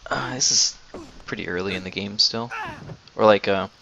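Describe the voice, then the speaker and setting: A young man giving casual gameplay commentary in a low-key, halting, unhurried way. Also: gravelly, lo-fi sound, noisy background. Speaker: gamer, video host. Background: faint game music.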